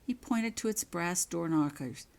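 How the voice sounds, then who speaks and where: A woman's voice: fairly low pitch, animated, unhurried, creaky. A woman reading her own story aloud as scripted narration. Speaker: author reading own fan fiction.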